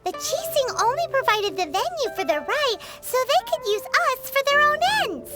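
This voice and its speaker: A tiny bubbly fairy sidekick from a video game, squeaky and extremely high. She is exclamatory and greedy, swooping about in pitch, with loud music playing behind her. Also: very animated, normal speed. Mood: eager, probing. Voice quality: squeaky, childlike. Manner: chirpy, exclamatory, refers to herself in third person. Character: tiny excitable childlike fairy companion, bubbly, greedy, third-person speaker. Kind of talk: video game cutscene voice acting.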